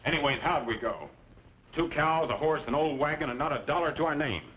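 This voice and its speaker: An actor playing a stubborn, resolute homesteader with folksy rural speech in an old-time radio western. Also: male voice, a little high, fairly quick, a bit bright, lightly acted. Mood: guarded. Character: stubborn, resolute married homesteader rallying his neighbors. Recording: muffled vintage radio recording, faint noise.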